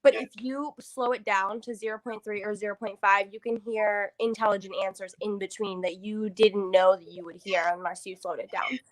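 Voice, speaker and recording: A woman guest on a paranormal livestream, talking in an animated, rambling way full of "like" and "so", joining over her phone on a video call. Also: medium pitch, normal speed, few pauses, low-quality recording. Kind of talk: casual chat.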